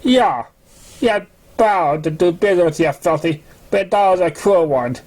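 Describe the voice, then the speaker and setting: Storybook farm pony, voiced by a man: blunt, honest, folksy, slightly high register. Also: animated, a little slow, darkish tone. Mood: reproachful.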